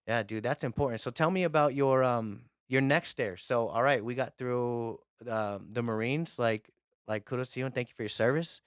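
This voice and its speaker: A man's voice: mid-range voice, moderate pace, clean tone, dry recording, studio quality. A man hosting a podcast interview, chatting in a laid-back way with casual slang. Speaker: podcast host.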